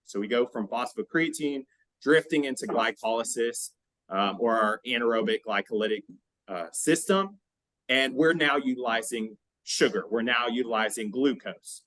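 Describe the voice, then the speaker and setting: Male podcast host, average pitch, dry sound; conversational explainer who pauses to restart and check understanding. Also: a bit bright, dry recording.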